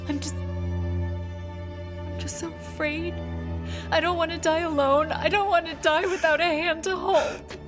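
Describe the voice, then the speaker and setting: Tormented wife, gothic audio drama: pleading, slow, widely swinging intonation over music. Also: female voice, high-pitched, big pitch swings. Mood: frightened pleading. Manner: pleading, anguished, melodramatic. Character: desperate, anguished wife locked away, pleading and accusing. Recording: music under the voice.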